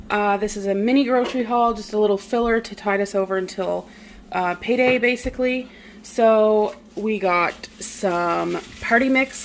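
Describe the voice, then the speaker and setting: Slow, chatty woman doing a haul. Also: mid-range voice, a little hiss or hum. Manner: relaxed, chatty, many "um"s. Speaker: vlogger. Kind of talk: casual grocery haul narration. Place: home kitchen, indoors.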